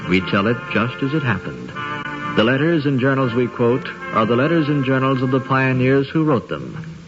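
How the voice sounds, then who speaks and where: A man's voice: medium pitch, lively intonation, normal speed. A man reading scripted narration for a 1950s radio documentary drama. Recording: dull 1950s radio recording. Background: music.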